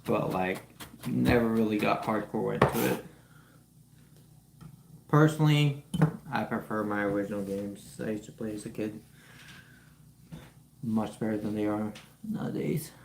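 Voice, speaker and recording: Slow-talking man in an echoey kitchen. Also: lowish voice, steady tone, creaky, darkish tone. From Ukraine. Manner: chatty, greets viewers and explains steps while chopping. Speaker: streamer cooking live. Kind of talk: casual cooking stream chat. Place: home kitchen. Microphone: phone. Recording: roomy kitchen with background noise.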